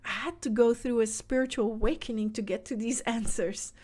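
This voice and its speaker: A woman's voice: a little high, a little fast, a little mellow. Earnest Dutch-accented woman coaching mothers. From Netherlands. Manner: earnest, speaks directly to the listener. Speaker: podcast host and self-help coach for mothers. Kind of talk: motivational podcast monologue.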